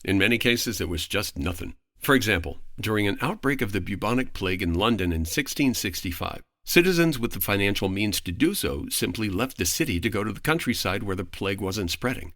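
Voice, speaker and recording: A man speaking as a podcast guest, giving a prepared, articulate explanation in formal, measured phrasing. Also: fairly low pitch, animated, fairly bright tone, dry recording, clean studio sound.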